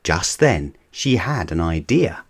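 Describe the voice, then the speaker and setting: Brief: man reading a children's book aloud, deep voice, unhurried. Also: big pitch swings. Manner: gentle and measured. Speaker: reader of children's books. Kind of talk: bedtime storybook reading.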